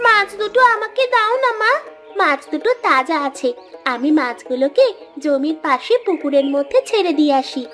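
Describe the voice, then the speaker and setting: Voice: sits high, lively intonation, moderate pace, bright, forceful tone, faint music behind. A performer voicing an eager young village girl with a pleading, childlike manner in a cartoon. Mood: excited, pleading. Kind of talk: cartoon dialogue in Bengali storytelling.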